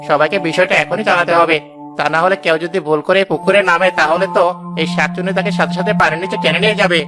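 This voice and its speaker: An excitable, concerned cartoon villager, played by a man. His voice sits a little high, and music plays clearly behind it. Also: quick pace, lightly acted. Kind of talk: cartoon voice acting.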